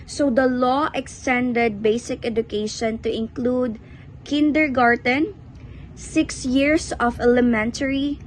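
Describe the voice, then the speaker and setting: A young Filipino woman with a Cebuano accent explains slides in a student presentation. She speaks very slowly in a fairly high voice. There is slight background noise in the recording. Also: fairly even intonation, rough recording. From Philippines. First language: Cebuano (Bisaya). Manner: explanatory, addresses listeners directly.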